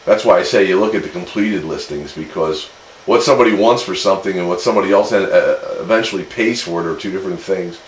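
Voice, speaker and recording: A man with a very low voice talks casually over a CB radio. He is matter-of-fact and sometimes repeats a word as he thinks. The sound is roomy, with faint noise behind it. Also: fast talker. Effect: CB radio transmission. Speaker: host of a radio swap meet session. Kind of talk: casual two-way radio chat.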